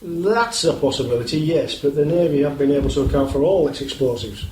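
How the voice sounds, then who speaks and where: In a roomy, slightly hissy recording, a man acts out an earnest British police inspector for a radio play. His voice sits a little high, with a formal, measured and guarded delivery. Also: animated, a little mellow, lightly acted. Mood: formal, probing. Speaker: actor in amateur radio play. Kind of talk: scripted radio drama dialogue.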